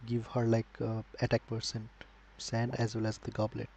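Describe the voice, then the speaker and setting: A man giving casual, instructive advice on a live gaming stream, speaking in a halting way. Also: mid-range voice, monotone, creaky, darkish tone. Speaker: game streamer. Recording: noisy live stream microphone.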